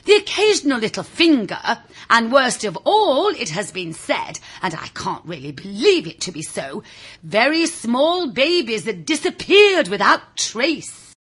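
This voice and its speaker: A woman reading a children's story in a theatrical, confiding way, with a husky, raspy voice, speaking directly to the listener. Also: on the high side, big pitch swings, measured pace, a bit bright. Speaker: audiobook reader. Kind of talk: animated scripted children's story narration.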